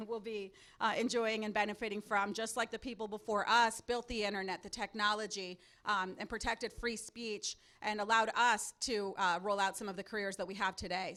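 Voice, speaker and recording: Loud, bright-voiced woman moderator. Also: mid-range voice. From Mexico. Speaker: panel moderator. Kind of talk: public speaking to a student audience. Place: event hall at a panel table. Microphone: handheld or table microphone.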